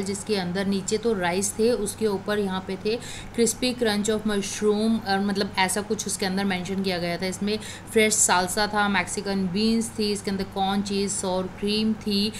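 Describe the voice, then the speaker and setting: A woman's voice: mid-range voice, a little hiss or hum. A woman narrating a food review as a voice-over in a conversational, enthusiastic way, talking to viewers as "guys".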